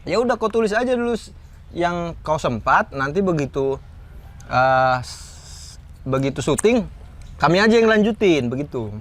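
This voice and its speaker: A man in casual chat, telling stories in a relaxed way about writing comedy films, laughing often. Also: on the high side, moderate pace. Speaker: comedy screenwriter.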